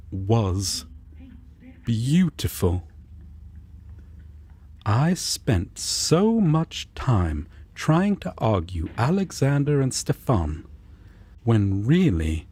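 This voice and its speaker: A man playing an earnest, sentimental angel roommate in an intimate audio roleplay, speaking gently, formally and a little hesitantly. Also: mid-range voice, slightly rough, heavily acted. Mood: emotional.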